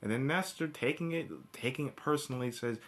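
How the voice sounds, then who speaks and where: A man giving informal, ranting review commentary, blunt and profane, often backtracking and restarting his sentences. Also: medium pitch. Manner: halting, with repeated restarts and backtracking. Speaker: cartoon episode reviewer.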